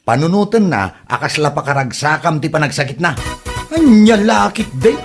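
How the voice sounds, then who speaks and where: A man acting an exasperated middle-aged father with a husky voice in an amateur comedy drama. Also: fairly high pitch, sing-song, normal speed, faint music behind. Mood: scolding. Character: exasperated father, scolding and comforting his grown daughter.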